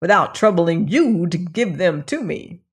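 A woman voicing a greedy, grumbling old woman with a stick who is quick to anger. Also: medium pitch, sing-song. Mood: furious. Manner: testy, quick to rage.

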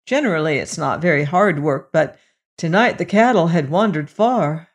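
A woman's voice: low voice, lively intonation, moderate pace. A woman voicing a candid, helpful village boy who speaks openly in a storybook tale. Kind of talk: storybook character voice.

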